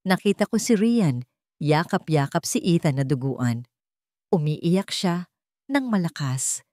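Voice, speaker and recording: A woman's voice: lowish voice, a little mellow, dry recording, studio quality. A woman audiobook narrator reading a dramatic first-person story in a solemn, emotive manner.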